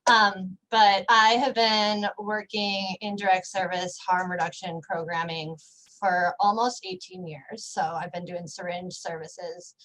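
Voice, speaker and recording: A woman with a mid-pitched voice introduces herself on a panel call. She is candid and self-deprecating, and she speaks passionately about her clients. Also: fairly bright tone, slight background noise, poor audio. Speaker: harm reduction worker and panelist.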